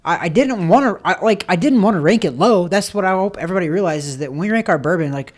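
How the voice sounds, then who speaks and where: Animated higher-voiced young man. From United States. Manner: animated, exclamatory, quick to react. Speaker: podcast host. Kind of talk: casual sports podcast chat.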